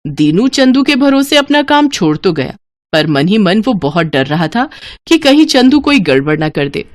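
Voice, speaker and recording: A woman's voice: medium pitch, sing-song, normal speed, no room sound. A woman narrating a children's moral tale as scripted storytelling.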